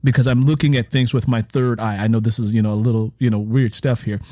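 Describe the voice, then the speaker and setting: A man's voice: mid-range voice, fairly even intonation, fast talker, warm tone, no room sound. Emphatic man reflecting in a close, dry interview. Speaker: interviewer and writer. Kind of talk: reflective conversational interview talk about writing.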